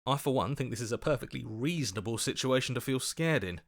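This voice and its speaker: An actor playing an earnest, anxious heroic inner voice in a video game, protesting and questioning. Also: male voice, mid-range voice, expressive, fast, gravelly. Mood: anxious, bewildered. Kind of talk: dramatic game voice acting.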